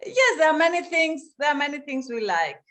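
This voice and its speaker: A woman from Greece, a dancer and dance teacher, answering interview questions over a video call and often pausing to search for words. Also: high-pitched, big pitch swings, fairly bright tone. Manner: thoughtful, searching for words, frequent restarts.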